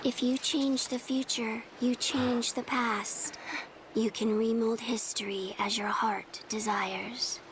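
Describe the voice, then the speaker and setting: A woman's voice: fairly high pitch, steady tone, slow pace, background noise. An actress playing an ominous, prophetic female character in a fantasy game cutscene. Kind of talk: scripted game cutscene acting. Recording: game music over voice.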